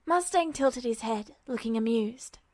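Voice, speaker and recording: High-voiced woman reading fan fiction. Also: expressive, unhurried, dry recording. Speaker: podfic reader.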